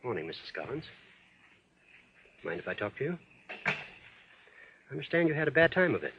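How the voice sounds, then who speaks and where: An actor playing a firm, persuasive doctor, formal and earnest, in 1940s radio drama style. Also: male voice, medium pitch, big pitch swings, fairly quick, background noise. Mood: gentle, coaxing. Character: firm, insistent doctor, persuasive and self-assured. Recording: muffled old radio recording.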